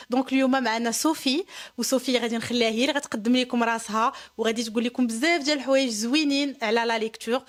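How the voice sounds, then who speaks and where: A woman with a Moroccan accent hosts a podcast interview in a high, bright voice. She talks at a rapid clip, moving easily between French and Moroccan Arabic as she asks her questions. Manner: switches between French and Darija, quick intro. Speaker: podcast host.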